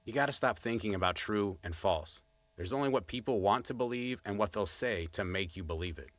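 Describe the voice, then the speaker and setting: A man's voice: lowish voice, a little fast, fairly bright tone, no room sound, natural voice. A man playing a wry, opinionated podcast co-host in casual scripted banter. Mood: insistent.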